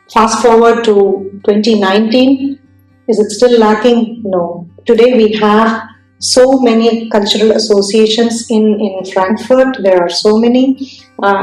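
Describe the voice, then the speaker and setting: A woman from India with an Indian accent, telling her career story in an interview, speaking thoughtfully with many pauses and restarts. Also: mid-range voice, a little mellow, echoey room, rough recording. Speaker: entrepreneur guest.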